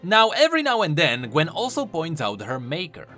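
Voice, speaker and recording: Guy doing game lore commentary, bright forceful voice, super animated and emphatic, bit of music behind. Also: fairly high pitch, moderate pace. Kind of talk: explanatory commentary voice-over. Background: faint music.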